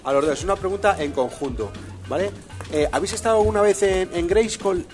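A man's voice: high-pitched, brisk, soft background music. A man hosting a comedy radio show, playfully asking absurd questions. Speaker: radio host.

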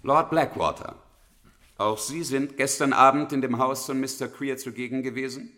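An actor playing a formal Victorian public prosecutor in a German radio drama, declaiming and probing with theatrical authority. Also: male voice, medium pitch, moderate pace, sharp tone, natural voice.

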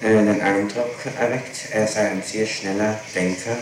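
A man's voice: lowish voice, fairly even intonation, a little slow. Male interrogator on noisy, echoey tape. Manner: methodical, probing, official. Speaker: public prosecutor, interrogator. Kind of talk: formal interrogation questioning.

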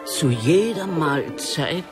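A woman playing a simple, devoted, pious maidservant in a radio play, husky and emotional. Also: low voice, expressive, slow pace, over loud music. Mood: humble, puzzled. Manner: emotional, exclamatory. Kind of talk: radio drama acting.

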